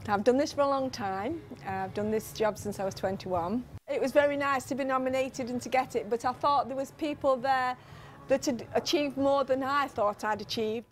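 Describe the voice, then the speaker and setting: A woman's voice: sits high, moderate pace. A woman who works in a pub, answering modestly in an informal interview.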